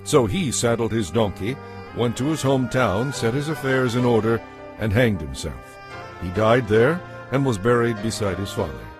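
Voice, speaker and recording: A man narrating a dramatized audiobook in a measured, solemn storytelling manner. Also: lowish voice. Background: faint music.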